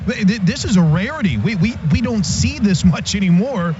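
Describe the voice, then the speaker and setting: A man adds live football commentary on a radio broadcast. His voice is distinctly high for a man. The sound is a little dull, with clear noise around him. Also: animated, normal speed. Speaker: radio sideline reporter and analyst. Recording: muffled broadcast with loud stadium noise.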